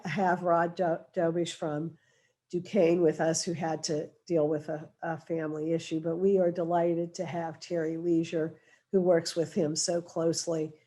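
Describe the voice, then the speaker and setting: A middle-aged woman, hoarse from a cold, introducing a panel over a video call and often restarting her phrases. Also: low-pitched, warm tone. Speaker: panel moderator. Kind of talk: webinar introduction. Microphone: remote video call.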